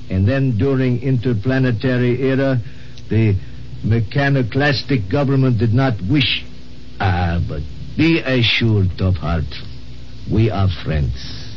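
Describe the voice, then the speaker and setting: A man's voice: medium pitch, lightly acted. A kindly man of the far future, acted by a man, feeling his way through stilted, broken English in an old radio play. The recording is muffled, with faint noise. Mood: reassuring. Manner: halting, stilted, broken grammar. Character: kindly future man speaking halting, archaic-learned English. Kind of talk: 1950s American radio drama acting.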